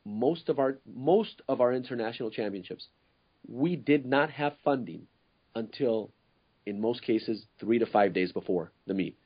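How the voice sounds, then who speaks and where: A man from Ecuador, co-hosting a podcast discussion, speaking earnestly and often pausing to search for words. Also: mid-range voice, expressive, slightly rough, muffled recording. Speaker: co-host.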